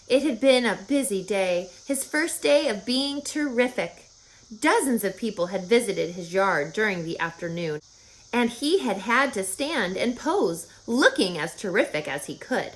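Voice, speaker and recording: Expressive woman reading a children's story. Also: medium pitch, rough recording. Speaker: audiobook reader.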